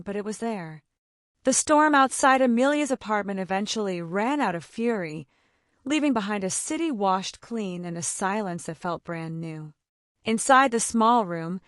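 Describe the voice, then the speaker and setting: A woman's voice: fairly high pitch, no echo, clean studio sound. A woman reading a romance story as an audiobook narrator, in a dramatic scripted style.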